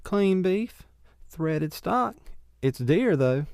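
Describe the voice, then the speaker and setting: A man's voice: high voice, sing-song, very slow, creaky, darkish tone, clear, resonant tone, no echo, clean studio sound, pitched above the performer's own voice, full character voice. A man voicing a curt, truculent, hard-bitten barmaid in a frontier saloon for an audiobook. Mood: guarded, curt. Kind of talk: audiobook character dialogue.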